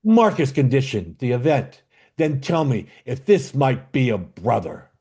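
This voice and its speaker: A man playing a bitter, commanding exiled duke and father in an amateur Shakespeare reading, with a declamatory, halting delivery. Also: medium pitch, sing-song, mellow, slightly rough, exaggerated voice. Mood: bitter, aggrieved. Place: online video call.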